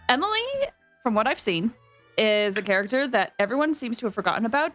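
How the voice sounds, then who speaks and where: A woman hosting a game livestream, chatting casually and informally with viewers, often drifting into asides. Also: medium pitch.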